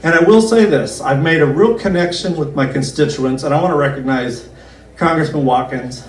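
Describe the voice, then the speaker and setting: A man speaks a little high for a man, folksy and earnest, leaning on slow, drawn-out words to stress a point. His campaign pitch sounds roomy, caught from a way off the mic. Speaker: county commissioner running for reelection. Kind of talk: campaign speech at an outdoor picnic. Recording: roomy, distant PA-style recording.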